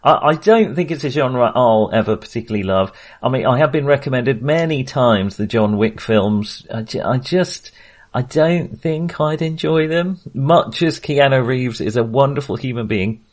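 A middle-aged man co-hosting a film podcast, talking casually in a measured, hedging, wry way. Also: mid-range voice, animated.

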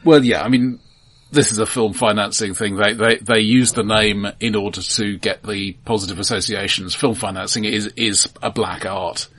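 A middle-aged man with a low, rough-edged voice talks casually as a film podcast host. He explains things analytically, in a halting flow, often doubling back on his words as he goes. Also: steady tone, fairly bright tone. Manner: halting, repeats words as he thinks.

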